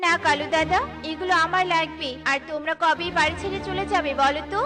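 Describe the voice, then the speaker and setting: A woman voicing a kind, hardworking but easily exasperated female cartoon bird, expressive and plaintive. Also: extremely high pitch, fairly quick, music in the background. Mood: annoyed. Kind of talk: children's cartoon voice acting.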